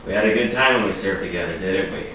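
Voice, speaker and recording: A man's voice: sits low, fast, pushed and bright. Man acting a wry investigator, cavernous echo. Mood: guarded, flirtatious. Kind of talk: stage acting at a script reading. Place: large room, staged reading recorded from afar. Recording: echoey room, far from mic, noisy.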